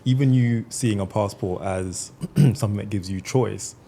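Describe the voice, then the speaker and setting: A man's voice: on the low side, normal speed, vocal fry, dark tone, a little hiss or hum. A man hosting a podcast, asking long, reflective questions in a thoughtful way. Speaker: podcast host. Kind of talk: conversational podcast interview.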